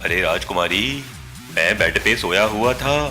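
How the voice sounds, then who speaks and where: A man's voice: on the low side, animated, measured pace, bright, forceful tone, soft background music, heavily acted. A man voicing a lovestruck, scheming half-man half-spider in a cartoon, with theatrical delivery. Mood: smitten, coy. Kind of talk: Hindi cartoon story dubbing.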